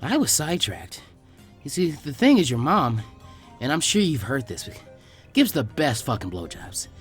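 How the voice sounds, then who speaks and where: A man's voice: medium pitch, wildly swooping pitch, normal speed, husky, faint music behind, exaggerated voice. A man voicing a cocky, crude teenage ninja boy who taunts nonchalantly in a fan fiction reading. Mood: smug, taunting.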